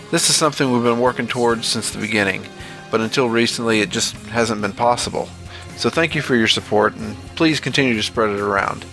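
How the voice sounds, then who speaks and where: A man's voice: mid-range voice, sharp tone. A man reading scripted narration as the host and narrator of a horror fiction podcast.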